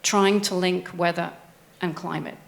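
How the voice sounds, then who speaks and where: A woman's voice: on the low side, steady tone, unhurried, creaky. A woman oceanographer on a panel, speaking conversationally and tossing in rhetorical questions. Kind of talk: panel discussion.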